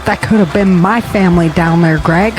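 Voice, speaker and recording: A woman's voice: lowish voice, normal speed, lightly acted, poor audio. An actress playing a determined, assertive young female army recruit in an audio drama. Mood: urgent. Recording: music bed under dialogue.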